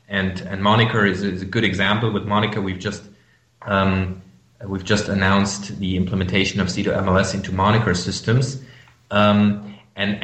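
A man's voice: lowish voice, a bit bright, reverberant. A man from Germany with a German accent, answering interview questions about his company in a hesitant way, full of fillers and restarts. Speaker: company representative guest. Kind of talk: business interview.

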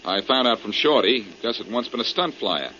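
A man's voice: medium pitch, expressive, normal speed, pushed and bright. A man playing a cool, clever amateur detective in an old radio mystery, wry and self-assured. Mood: explaining. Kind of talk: 1940s radio crime drama acting. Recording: muffled, noisy old-time radio recording.

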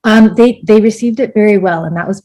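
A woman from Vietnam, an author talking through her thoughts in a relaxed interview, with lots of fillers and restarts. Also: mid-range voice, brisk. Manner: reflective, many fillers and restarts, laughs often. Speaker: author and guest. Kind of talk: casual interview conversation.

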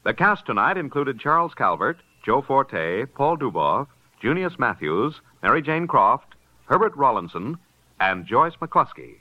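A man's voice: medium pitch, very animated, a little slow, no room sound. An American man reading scripted announcements in 1950s network radio style. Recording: dull vintage radio recording, music behind.

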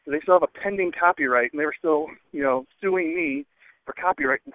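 A man calling in to a show over the telephone, rambling casually and hesitantly with many ums and pauses. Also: on the high side, no echo. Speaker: listener calling in. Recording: narrow, dry phone-line sound.

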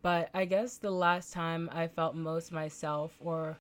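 A young woman with a low voice and a light Bahamian accent, talking with a friend on a podcast. Her words come out precise and tidy, but she keeps the tone loose and conversational, with plenty of casual fillers. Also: steady tone, slow pace. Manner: precise, careful diction with chatty fillers. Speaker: podcast co-host. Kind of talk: casual chat.

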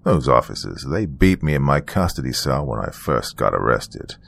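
Guy doing a super deep creepy charming serial killer, smug and taunting, thriller story. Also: very animated, fairly bright tone, dry recording, exaggerated voice. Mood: gloating. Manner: smooth, taunting, drawn-out. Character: charming, menacing, boastful male serial killer, self-pitying.

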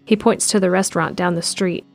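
A woman giving steady scripted narration of an audio drama in the present tense. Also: on the low side, fairly quick, gravelly, faint music behind.

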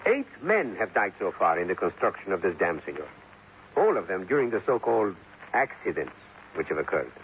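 An actor playing a calm, stubborn police captain with a Spanish accent, speaking formally and deliberately in a 1950s radio drama. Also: male voice, low voice, narrow, tinny audio. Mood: grave, resigned. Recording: noisy, thin 1950s radio recording.

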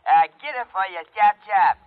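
A man playing a joking, slow-witted police sergeant in a radio detective show. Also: sits high, expressive. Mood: jokey, pleased. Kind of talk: 1950s radio comedy-drama acting. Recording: tinny, phone-like old radio recording.

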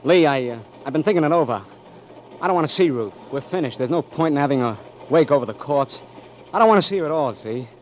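An actor playing a young marine blinded in war, bitter and stubborn, with gruff clipped working-class speech, in 1940s radio acting. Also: male voice, a little high, expressive, fast, a little hiss or hum, natural voice.

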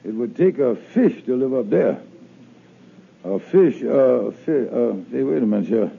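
Wisecracking schemer of a husband, folksy 1950s radio comedy, creaky and raspy, on a thin vintage broadcast recording. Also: male voice, mid-range voice, lively intonation, unhurried, exaggerated voice. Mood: musing, sly. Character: scheming, smooth-talking husband, a fast-talking schemer. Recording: tinny old radio recording.

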